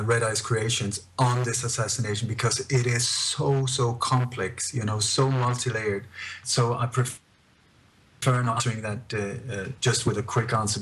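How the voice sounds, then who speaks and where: A middle-aged man with a Scandinavian accent, recounting his research as a radio interview guest in a measured, halting way with repeated phrases. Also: medium pitch, a bit bright, slight background noise, low-quality recording. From born in Denmark, emigrated to Sweden at eight.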